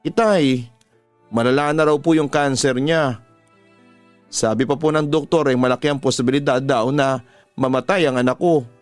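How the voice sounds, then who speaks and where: A man's voice: medium pitch, fairly even intonation, moderate pace, pauses often, no echo, lightly acted. An anguished young Filipino mother begs and pleads in a radio drama story, acted out by a male narrator in his own mid-range voice. The delivery is imploring and sorrowful. The sound is dry, with music underneath. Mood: grieving.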